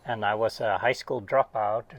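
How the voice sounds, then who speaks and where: A man's voice: on the low side, fairly even intonation, moderate pace. A middle-aged man from Sweden with a Swedish accent, a guest oceanographer chatting casually and self-deprecatingly, recorded in a small cabin. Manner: rambling, self-deprecating, with laughs and restarts. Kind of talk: casual interview chat.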